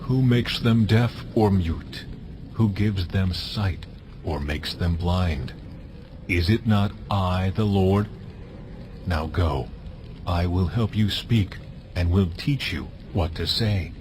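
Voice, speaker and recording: An actor playing God as a solemn, deliberate, authoritative divine voice in a dramatized scripture reading. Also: male voice, sits low, noisy background. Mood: stern, authoritative.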